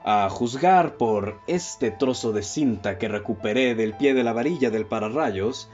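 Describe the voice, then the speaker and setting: A man with a Mexican accent playing a composed, analytical detective in a radio play, speaking formally and explaining with measured confidence. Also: medium pitch, a little mellow, natural voice. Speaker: amateur radio drama actor. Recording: dull lo-fi audio with music bed.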